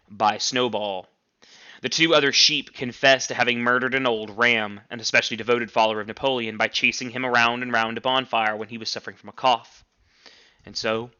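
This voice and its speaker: Male story reader, bright tense tone, expressive, emphatic, slightly muffled home recording. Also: medium pitch, clear, resonant tone. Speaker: teacher reading aloud. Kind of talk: dramatic novel read-aloud.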